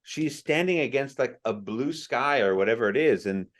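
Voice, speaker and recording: A man's voice: medium pitch, expressive, normal speed. A man co-hosting a podcast, chatting casually about films and dropping dry, sarcastic asides. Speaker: podcast co-host, film reviewer. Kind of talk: casual chat.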